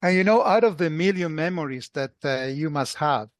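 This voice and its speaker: Man, fairly high voice, close dry recording, effusive podcast co-host, halting phrasing. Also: lively intonation, no echo. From Buenos Aires, Argentina. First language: Spanish. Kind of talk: casual interview chat.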